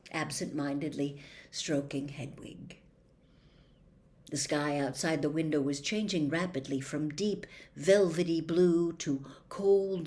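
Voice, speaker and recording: Woman reading a storybook out loud, voice a bit low, expressive, draws words out for drama. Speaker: storybook reader. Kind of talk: expressive audiobook narration.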